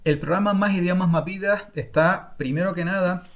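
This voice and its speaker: A middle-aged man from Spain gives an unscripted online masterclass on learning languages, often pausing with fillers and restarting his sentences. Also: sits high. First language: Spanish. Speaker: language-learning course creator.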